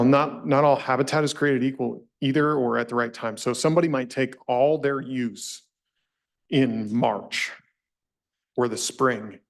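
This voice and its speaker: A man's voice: mid-range voice, gravelly. A man at a public commission meeting, talking through a complicated issue in a thoughtful, hedging way. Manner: thoughtful, self-questioning, hedging. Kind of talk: deliberative public meeting remarks.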